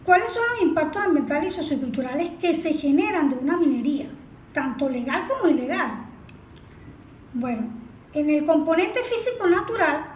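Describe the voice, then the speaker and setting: High-voiced woman explaining in echoey room. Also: animated, normal speed. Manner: didactic, poses rhetorical questions and answers them. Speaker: environmental expert being interviewed. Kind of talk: explanatory interview answers with slides. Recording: echoey room with faint background noise.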